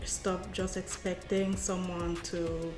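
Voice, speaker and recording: A young woman from Cameroon talks softly in a casual interview, pausing often and leaning on filler words. Her voice sits a little low for a woman. The room is echoey, with faint music underneath. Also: level tone. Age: 20s. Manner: soft-spoken, many "like" fillers, hesitant. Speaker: guest.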